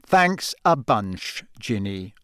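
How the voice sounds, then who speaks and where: A man's voice: fairly high pitch, very animated, a little slow, dry recording, clean studio sound. A man voicing a blunt, impatient teenage boy with a sour, demanding way of talking, in an audiobook. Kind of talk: audiobook character acting.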